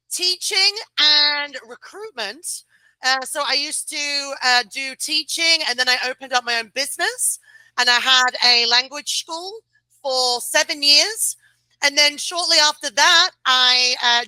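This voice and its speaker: A woman speaking as a guest on a video call, chatty and quick to laugh, with frequent uhs. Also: sits high, bright, forceful tone, no room sound, rough recording. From United Kingdom. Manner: chatty, laughs easily, fillers. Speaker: guest, client relations director. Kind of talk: casual interview chat.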